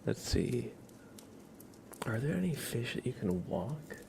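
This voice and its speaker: A man hosting an ASMR livestream, chatting playfully and softly with viewers, often slipping into whispers and rambling as he goes. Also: mid-range voice, sing-song, slow, creaky, background noise. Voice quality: soft, often breaking into whispers. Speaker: livestream host. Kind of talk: ASMR livestream chat, reading and answering viewer comments.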